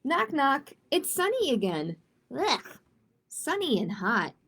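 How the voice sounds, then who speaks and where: Expressive high-voiced woman reading storybooks. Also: slow pace. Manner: expressive, simple explaining with check-in questions. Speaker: library storytime host. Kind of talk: reading picture books aloud to children, with chatty asides.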